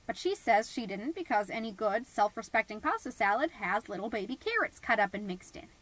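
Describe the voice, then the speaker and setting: A woman's voice: fairly high pitch, big pitch swings, brisk, fairly bright tone, no echo. A woman playing a self-important, theatrical suburban mom who tells her story in a comedic scripted podcast monologue. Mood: brisk, puzzled. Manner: theatrical, pompous, indignant. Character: melodramatic suburban mom, self-important party planner, easily exasperated. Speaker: podcast host character narrating.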